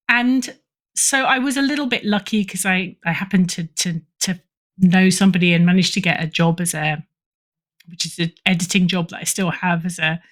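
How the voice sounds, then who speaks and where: A middle-aged woman speaks as a guest in a casual interview, with a voice of medium pitch. She is chatty and gently makes fun of herself, in an easy conversational flow. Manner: self-deprecating, chatty, chuckles through remarks. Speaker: podcast guest, writer and editor.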